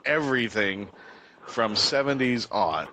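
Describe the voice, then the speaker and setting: A man's voice: mid-range voice, lively intonation, measured pace, background noise. A man hosting a casual radio interview.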